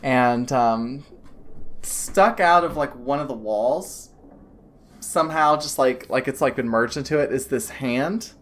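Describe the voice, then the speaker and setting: A woman's voice: extremely low pitch, unhurried. A woman narrating as game master of a tabletop game, describing scenes in a rambling way full of restarts and fillers.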